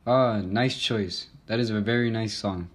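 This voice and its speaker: Courteous 1920s talk show host acted by a man. Fairly low pitch, polite scripted interviewing. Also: a little slow, slight background noise, natural voice.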